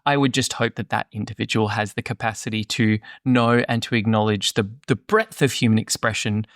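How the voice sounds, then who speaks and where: A man's voice: fairly low pitch, steady tone, brisk, fairly bright tone, dry recording, clean studio sound. A man co-hosting a podcast who speaks in a thoughtful, hedging way and often repeats words. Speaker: podcast co-host. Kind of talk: casual conversation.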